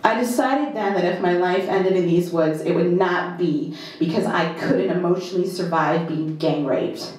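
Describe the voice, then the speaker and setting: A woman performing a first-person dramatic monologue at a live reading, in short, deliberate, halting phrases with emphatic repetition. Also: on the low side, darkish tone, slight background noise. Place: indoor live performance in a club. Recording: very echoey live venue.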